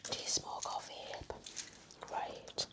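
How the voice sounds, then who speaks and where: A woman speaking in a gentle, breathy, mostly whispered voice, playing a reassuring nurse in a soft-spoken ASMR medical roleplay. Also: takes it slowly. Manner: gentle, reassuring bedside manner. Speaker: ASMR performer playing a home-visit nurse.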